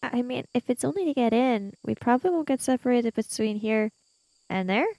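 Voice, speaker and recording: A woman with a high-pitched voice plays a tabletop roleplaying game. Her delivery swings up and down in a lively way, casual and playful, as she improvises with quick jokes. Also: gravelly, no room sound.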